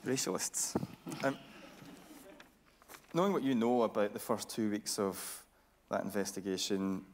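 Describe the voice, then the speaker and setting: Male interview host, low register, halting and considered delivery for a stage conversation. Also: background noise.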